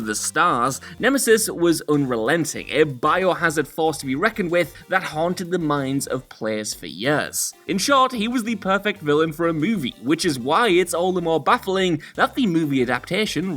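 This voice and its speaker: Male presenter for countdown commentary: lively swooping delivery, slightly high pitch, emphatic, wry, over a music bed. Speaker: presenter of a video list show. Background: background music.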